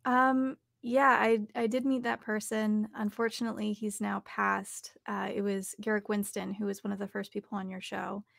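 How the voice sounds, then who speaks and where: A young adult woman speaking thoughtfully and haltingly as she answers interview questions. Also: mid-range voice, little pitch movement, vocal fry, fairly bright tone, dry recording, studio quality. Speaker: actor and audiobook narrator, guest. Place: home recording studio, video call.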